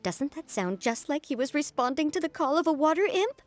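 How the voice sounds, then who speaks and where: A woman's voice: high voice, very animated, a little fast, faint music behind. A woman playing a dramatic, superstitious fishing official who talks like an earnest, theatrical storyteller in a video game. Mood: earnest, insistent.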